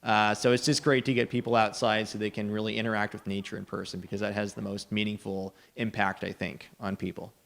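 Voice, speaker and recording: Male interviewee, creaky low voice, conversational soundbite with little melody. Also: flat delivery, a little fast, hardly any pauses, fairly bright tone. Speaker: nature center worker being interviewed.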